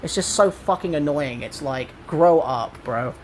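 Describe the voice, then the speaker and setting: A young man in his twenties with an English accent talks with a high, squeaky voice. He sounds aggrieved and rambles as he retells an online argument. A faint hiss runs underneath. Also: animated, unhurried, low-quality recording. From England. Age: 20s. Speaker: gaming vlogger. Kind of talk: casual commentary.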